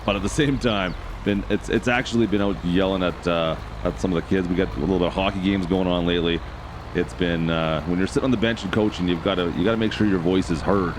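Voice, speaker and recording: A man with a hoarse, raspy voice hosting a farming game channel, chatting casually and thinking aloud as he plays. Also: deep voice, fairly even intonation, fast. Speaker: gaming channel host. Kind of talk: casual gameplay commentary. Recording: clear game noise behind voice.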